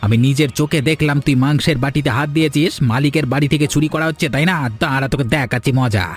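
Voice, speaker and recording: A man's voice: a little high, fairly even intonation, fast talker, close to an everyday voice. A man voicing an officious, tattling manservant in a fairy tale cartoon, scolding and accusing in a bullying way. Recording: music behind the voice.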